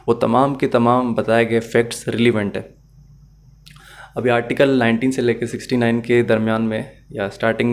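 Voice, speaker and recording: A man explaining material as a law teacher in an online lecture, methodically repeating and rephrasing points and mixing in English phrases. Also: fairly low pitch.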